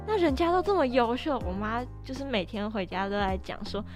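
Young woman chatting over soft music. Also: a little high, very animated, brisk. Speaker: podcast co-host. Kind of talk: casual conversational discussion.

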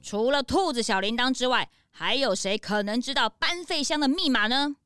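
A woman's voice: on the high side, normal speed, clear, resonant tone, dry recording, faint music behind. A woman playing an eager, inquisitive young animal detective's sidekick in a children's radio drama. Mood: earnest, deducing. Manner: inquisitive, excitable, earnest.

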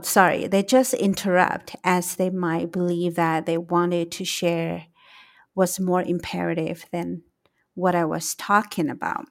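A middle-aged woman with a Taiwanese accent hosting a solo reflective podcast, speaking in a deliberate, emphatic way. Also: lowish voice. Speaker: podcast host, English teacher.

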